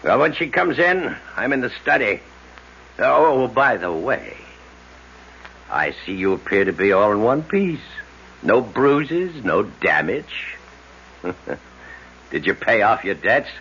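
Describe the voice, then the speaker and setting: A man's voice: fairly low pitch, big pitch swings, takes it slowly, gravelly, pauses often, a bit bright, slight background noise, exaggerated voice. An actor playing a domineering, scornful older father in a 1970s radio drama. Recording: dull old radio broadcast.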